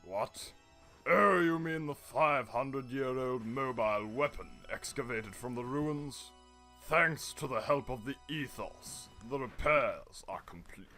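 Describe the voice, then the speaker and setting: A man's voice: mid-range voice, measured pace, pushed and bright, faint music behind. A man playing a shrewd, fawning prime minister in an audio drama, speaking in a formal, unctuous manner. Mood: deferential. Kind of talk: radio-play acting.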